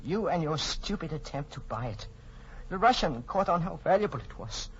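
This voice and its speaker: An actor playing a fussy, oily, excitable criminal accomplice in a 1940s radio drama. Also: male voice, on the high side, normal speed. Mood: shrill outrage. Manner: fussy, over-precise politeness. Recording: muffled, noisy 1940s radio broadcast.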